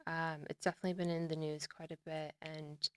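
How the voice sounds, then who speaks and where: A young woman from the United States speaks in a relaxed, earnest way as a podcast guest. Her voice sits a little low and sounds smooth and clear. The recording is close and dry. She leans on "like" a lot and often trails off and starts again. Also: brisk, dry recording. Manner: relaxed and earnest, heavy use of "like", trailing off and restarting. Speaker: podcast guest, olive oil brand founder. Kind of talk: casual interview conversation.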